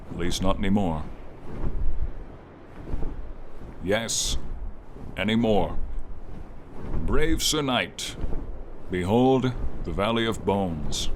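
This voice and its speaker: A friendly, protective dragon voiced by a man speaks in a lowish register. The delivery is slow, formal and tender, as in an intimate fantasy audio role play. A noticeable bed of rainy ambience sits behind the voice. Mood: wistful, solemn. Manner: slow, formal, tender and teasing address to listener. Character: friendly silver dragon, male, gentle protective old guardian. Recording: clear background ambience noise, light rain effect. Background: light rain and flying ambience.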